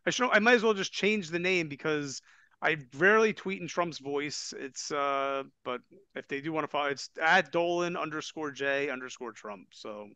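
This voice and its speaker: A man's voice: fairly high pitch, normal speed, sharp tone, smooth, no echo. A man in his forties, a guest on a casual sports podcast, rambling and self-deprecating. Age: 40s.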